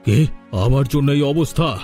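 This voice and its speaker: A stubborn village patriarch played by a man for a cartoon moral tale, commanding and melodramatic. His medium-pitched voice is mellow, with faint music underneath. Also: measured pace, natural voice. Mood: indignant. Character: stubborn, angry elder brother, head of village household. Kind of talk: Bengali cartoon story voice acting.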